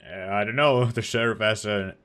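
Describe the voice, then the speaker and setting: A man's voice: on the low side, normal speed, a bit bright. A man doing a let's play, reacting casually to a video game as he goes. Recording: faint game music behind voice.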